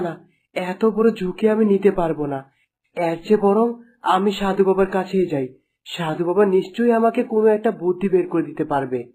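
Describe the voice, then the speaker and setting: A woman's voice: mid-range voice, warm tone, close to an everyday voice. A woman voicing a devoted, anxious bird with an earnest, pleading manner in a dramatic cartoon style.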